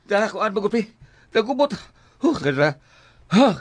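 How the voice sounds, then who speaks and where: A gossipy, excitable male pal from the neighbourhood in a radio drama. The voice sits unusually high, with a Cebuano accent. The sound is close and dry. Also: normal speed, no room sound. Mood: agitated. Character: male neighbourhood buddy bearing news of a lottery quarrel.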